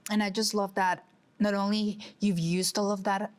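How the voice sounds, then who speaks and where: A woman co-host in a casual interview who speaks in a warm, affirming, personal way. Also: medium pitch, level tone, moderate pace.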